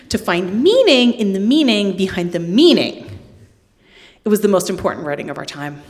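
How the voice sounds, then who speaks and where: A middle-aged woman giving a live literary reading in a hall, with deadpan, wry humour and casual asides to the listeners. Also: medium pitch. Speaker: poet reading from own book.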